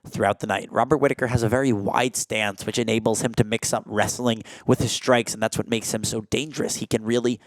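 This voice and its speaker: Excitable young male fight commentator. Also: a little fast, few pauses, no echo. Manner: rises sharply in pitch and volume on big strikes; frequent "uh" fillers. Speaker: play-by-play commentator. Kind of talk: martial arts play-by-play commentary.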